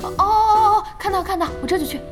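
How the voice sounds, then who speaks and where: An actress playing a young female team boss in an audio drama, curious, playful and easily flustered, with expressive hesitations. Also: very high voice, fast talker, over music. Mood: eager. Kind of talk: Chinese audio drama acting.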